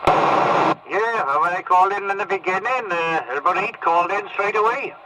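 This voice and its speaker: A man's voice: high voice, normal speed. A man running a casual CB radio net, talking in a rambling, hesitant way over a radio transmission. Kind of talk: casual amateur radio chat. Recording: very noisy CB radio reception.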